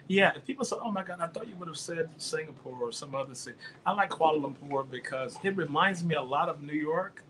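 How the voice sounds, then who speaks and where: A man's voice: fairly high pitch, lively intonation, fast talker, hardly any pauses, noisy background. A man speaking as a guest on a talk show in a casual, conversational style.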